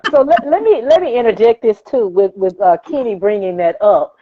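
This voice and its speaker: A woman's voice: a little high, a little fast, husky, highs cut off. A woman speaking as an author guest in a conversational interview, earnest and emphatic, building points through repeated phrases.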